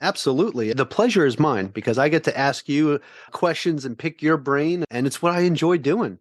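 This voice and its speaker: A man's voice: a little high, expressive, normal speed, no room sound, clean studio sound. A man hosting a finance podcast, enthusiastic and friendly as he interviews his guest. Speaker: podcast host.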